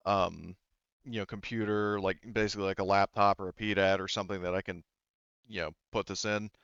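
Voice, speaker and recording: A man voicing an uneasy, guarded female corporate defector in a tabletop game, speaking haltingly and quietly. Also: deep voice, little pitch movement, clean tone, no room sound, lo-fi sound. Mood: cautious. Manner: hesitant, subdued, halting.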